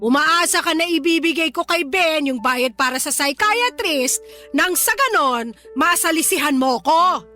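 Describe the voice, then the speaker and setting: A woman's voice: high-pitched, lively intonation, unhurried, pushed and bright, faint music behind, heavily acted. An actress playing a hostile, suspicious middle-aged mother, scornful and accusing, in a Tagalog radio drama. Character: hostile, suspicious, old-fashioned mother of a grown son.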